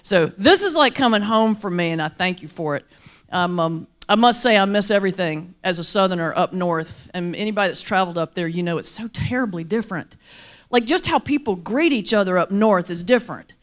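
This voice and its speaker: A woman from the mountains of North Carolina with a Southern accent, a pastor and comedian giving a lively, humorous keynote speech to a large luncheon crowd. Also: mid-range voice, a little fast. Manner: lively, playful, folksy with audience call-and-response. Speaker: pastor and comedian, guest speaker. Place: large banquet hall before a crowd.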